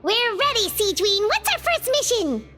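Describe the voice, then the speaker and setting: A woman voicing a tiny, chirpy, outspoken fairy companion in an anime-style game, with a squeaky, childlike voice. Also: very animated, moderate pace, exaggerated voice. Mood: eager, helpful. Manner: exclamatory, refers to self in third person. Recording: loud game music underneath. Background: game music.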